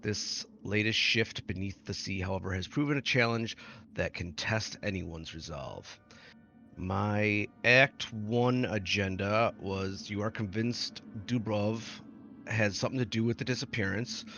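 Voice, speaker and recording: A man's voice: on the low side, a little slow, creaky, pushed and bright, faint music behind. A man, a tabletop roleplaying player, reading character notes aloud. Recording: muffled audio, faint noise.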